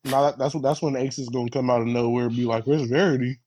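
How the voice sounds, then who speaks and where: A man's voice: mid-range voice, steady tone, fast, darkish tone. A man on a podcast panel, chatting casually and teasing his friends.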